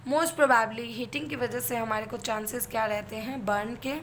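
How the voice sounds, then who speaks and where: A woman's voice: a little high, steady tone, normal speed, a bit bright, slight background noise. A woman with an Indian accent giving an explanatory lecture as an instructor, going step by step and answering her own questions. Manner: step-by-step teaching, posing questions and answering them. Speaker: physiotherapy instructor. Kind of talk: explanatory lecture mixing Hindi and English.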